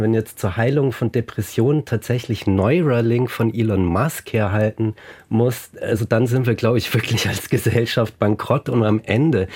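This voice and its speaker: A man speaking as a guest in a discussion, making a measured, articulate, intellectual critical argument. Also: medium pitch, darkish tone. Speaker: filmmaker, guest.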